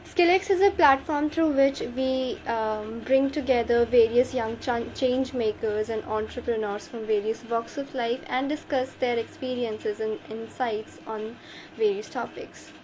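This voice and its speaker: Woman, interview host, high voice, online show presenting. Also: unhurried, a little mellow, slight background noise, low-quality recording. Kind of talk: hosted interview, introducing a guest.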